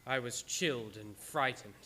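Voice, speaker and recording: A man's voice: medium pitch, moderate pace, very noisy, natural voice. An actor playing an earnest, haunted doctor, narrating his journal in a tense, theatrical radio-play style. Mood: horrified recounting. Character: earnest, haunted male doctor recounting horrors.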